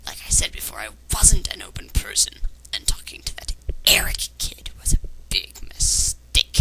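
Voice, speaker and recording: A woman's voice: fairly low pitch, very animated, normal speed, in a whisper, rough recording. A woman reading fan fiction aloud with sarcastic, critical commentary. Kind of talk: dramatic reading with mocking commentary.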